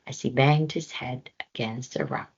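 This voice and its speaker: Slow husky woman reading a storybook. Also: extremely low pitch, highs cut off. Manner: expressive, unhurried storytelling. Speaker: read-aloud storyteller.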